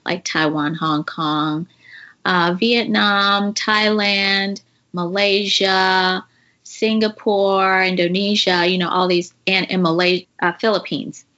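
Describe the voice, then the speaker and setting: A middle-aged woman from China with an American accent, a guest speaking thoughtfully with frequent pauses and fillers in an interview. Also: medium pitch, steady tone, pushed and bright, lo-fi sound. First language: Mandarin. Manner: thoughtful, hesitant, with fillers and laughs. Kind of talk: casual interview.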